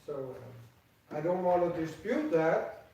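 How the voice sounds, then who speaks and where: An older man speaks slowly and haltingly, often restarting mid-phrase with repeated words. His voice sits a little high for a man. He sounds far from the mic in a very echoey temple hall. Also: animated, warm tone. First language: Danish. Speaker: devotee giving an offering speech. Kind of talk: personal tribute speech.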